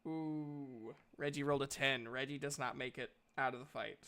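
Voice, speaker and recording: A man narrating a tabletop game as game master, improvising the story in a casual, halting way. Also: mid-range voice, steady tone, bright, forceful tone, slight background noise.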